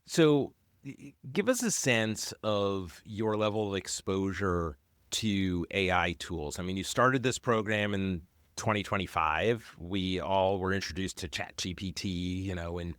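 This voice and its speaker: A deep-voiced man hosts a career interview, asking relaxed, probing follow-up questions in a conversational tone. His voice sits low, and the recording is dry and close. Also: a little slow, dry recording.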